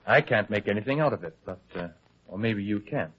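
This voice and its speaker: An actor playing an anxious, colloquial, fast-talking newspaper reporter in old-time radio detective style. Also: male voice, lowish voice. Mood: eager, conspiratorial. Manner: colloquial, excitable, hesitant when pressed. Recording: dull, lo-fi old radio drama recording.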